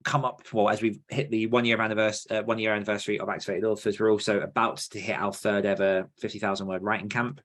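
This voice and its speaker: A man hosting a podcast, talking casually in an informal, somewhat halting way. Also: fairly low pitch, little pitch movement, fairly bright tone. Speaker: podcast host.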